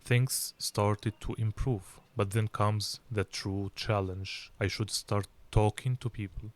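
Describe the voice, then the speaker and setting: Young egyptian guy, arabic speaker, kinda low voice, calm careful english telling his learning story. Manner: measured, reflective, clear learner's English. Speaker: English learning channel host. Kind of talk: personal story for language learners.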